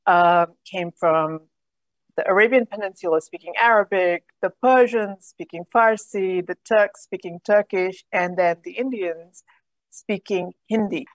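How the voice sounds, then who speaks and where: Middle-aged woman guest on a video call, calm measured explaining, dry webcam audio. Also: mid-range voice, no echo, rough recording. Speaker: guest, program director.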